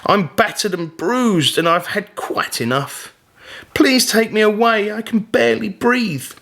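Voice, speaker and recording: Man playing a whiny, sulky little boy, slow. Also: sits high, creaky, a bit bright, pitched above the performer's own voice, full character voice. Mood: pleading, distressed. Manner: complaining, sulky, dramatic. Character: discontented, whiny young boy.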